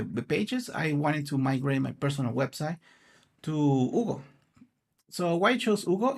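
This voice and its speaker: Male conference presenter, Spanish native, raspy fry, slightly high pitch, earnest and a little halting. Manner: earnest, explanatory, searching for words. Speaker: conference speaker.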